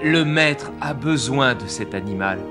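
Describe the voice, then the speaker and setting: Forthright animated apostle, male actor, declamatory confidence, roomy sound over music. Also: mid-range voice, measured pace, close to an everyday voice. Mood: assured, teasing. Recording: echoey sound with music behind.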